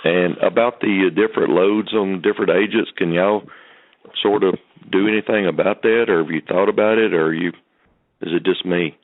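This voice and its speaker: A man with a low voice calls in over a thin, muffled phone connection. He is a truck driver, speaking off the cuff in an earnest, polite way and tending to ramble. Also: fairly even intonation, normal speed. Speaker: truck driver calling in to a company conference call. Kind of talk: unscripted questions on a conference call. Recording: muffled telephone conference line.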